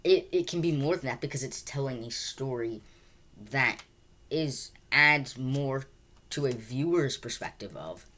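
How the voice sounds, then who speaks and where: Very low-voiced woman, halting and rambling. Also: lively intonation, fairly bright tone. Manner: halting, rambling, repeats words and restarts sentences often. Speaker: film reviewer. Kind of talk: casual unscripted movie review.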